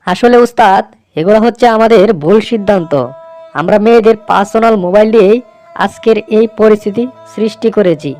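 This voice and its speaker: A woman's voice: mid-range voice, steady tone, a little fast, warm tone, soft background music, close to an everyday voice. A woman voicing an earnest young male fox follower in a cartoon. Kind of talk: cartoon voice acting.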